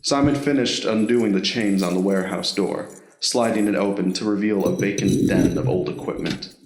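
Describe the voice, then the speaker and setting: A man narrates a scripted story in a fairly low voice. He reads as the narrator of an audio drama, and the recording has a noticeable roomy echo. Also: normal speed, darkish tone.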